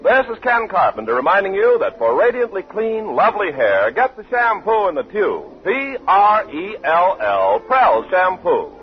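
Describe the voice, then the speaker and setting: A man's voice: sits high, big pitch swings, normal speed, few pauses, music in the background. A man working as a radio announcer, reading show credits and advertisements in a 1940s style. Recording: muffled, faintly noisy 1940s radio recording.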